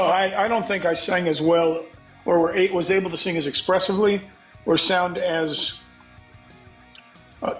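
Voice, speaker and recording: A middle-aged man with a scratchy voice answers in a frank, reflective way and swears now and then. His voice sits a little high. The call audio sounds echoey, as if he is in a bare room at home. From United States. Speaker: guest songwriter. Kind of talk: candid interview talk.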